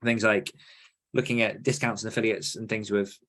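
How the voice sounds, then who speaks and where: A man's voice: lowish voice, level tone, moderate pace, fairly bright tone. A man co-hosting a podcast in a casual, informal chat style, thinking through plans as they talk. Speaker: podcast co-host.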